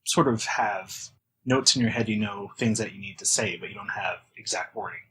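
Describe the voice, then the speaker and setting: A man hosting a casual podcast interview, speaking in halting, thoughtful phrases. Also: fairly low pitch, pushed and bright, slight background noise, poor audio.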